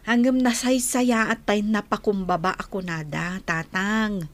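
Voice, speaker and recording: A woman's voice: mid-range voice, fairly even intonation, unhurried, close to an everyday voice. A woman acting in a radio drama as a firm, reproachful grown daughter with an Ilocano accent.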